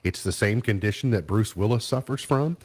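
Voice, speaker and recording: A man's voice: fairly low pitch, fairly quick, breathy edge. A man hosting a radio show, chatting casually through entertainment news in an easygoing way and sometimes trailing off mid-sentence.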